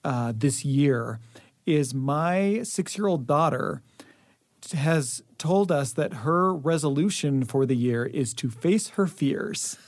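A man talks casually as a producer joining a radio studio chat. His voice is a little high and somewhat slow. The recording is close and very dry. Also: expressive, a little mellow, no echo.

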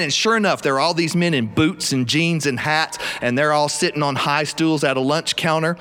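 Higher-voiced Southern man telling stories. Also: a bit bright. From South Carolina, southern US. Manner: folksy, theatrical, acts out dialogue. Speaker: storyteller. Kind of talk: live humorous personal storytelling. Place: recording studio, live performance.

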